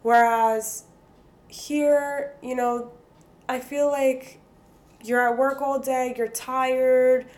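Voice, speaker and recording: Guest: young Greek woman, slightly high voice, chatty and quick to laugh. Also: flat delivery, darkish tone. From United States. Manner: chatty, laughs often, mixes in Greek words. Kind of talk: casual interview chat.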